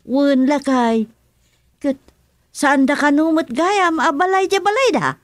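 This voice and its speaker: A woman's voice: high voice, takes it slowly, no echo, studio quality. A woman with an Ilocano Filipino accent playing an inquisitive, gossipy village wife in a radio comedy drama. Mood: gossiping eagerly.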